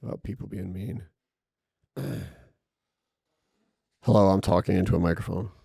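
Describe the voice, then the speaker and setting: A loud man with a gravelly, somewhat low voice co-hosts a podcast in casual chat, his pitch swinging up and down as he talks. The sound is dry and close. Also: steady tone, moderate pace, no room sound. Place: podcast studio.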